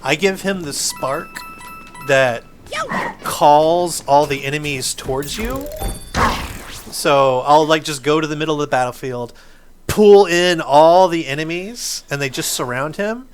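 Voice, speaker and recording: Higher-pitched man chatting over game music. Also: a little slow. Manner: enthusiastic, informal, filler-heavy explaining. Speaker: gaming video host. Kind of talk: casual gameplay commentary and chat.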